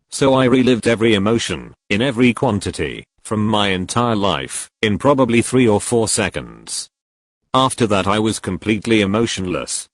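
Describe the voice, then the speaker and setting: A man narrating forum stories, reading each post straight through in one steady voice. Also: lowish voice, dry recording. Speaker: narrator reading online posts.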